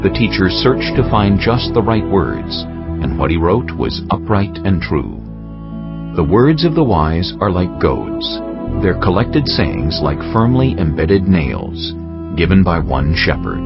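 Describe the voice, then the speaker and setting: Deep, gravelly male narrator over loud music. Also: big pitch swings, slow, highs cut off. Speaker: audiobook narrator. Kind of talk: scripted scripture narration. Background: loud background music.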